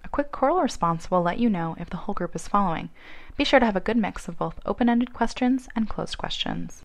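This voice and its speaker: A woman reading scripted instructional narration in a clear, explanatory way. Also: medium pitch, animated, brisk. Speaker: narrator of a training video.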